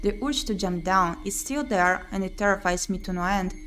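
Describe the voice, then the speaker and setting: A woman's voice: medium pitch, normal speed, fairly bright tone. A woman streamer playing a visual novel, reading the game text aloud expressively with her own commentary. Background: game music.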